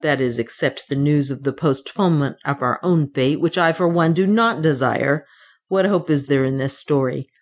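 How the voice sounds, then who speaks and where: Woman reading a formal, low-voiced gentleman adventurer. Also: normal speed. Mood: angry, scornful. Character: weary, stern gentleman adventurer, adult man. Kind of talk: audiobook character dialogue.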